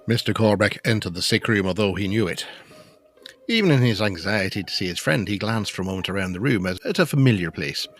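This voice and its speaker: A man's voice: on the low side, animated, fairly quick. A man reading scripted dramatic narration for a gothic audio story.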